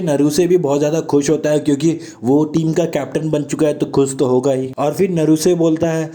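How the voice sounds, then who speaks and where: A man's voice: a little high, dark tone. A young man with a sore throat, casually recapping an anime and reading the characters' lines in his plain voice. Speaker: anime explainer narrator.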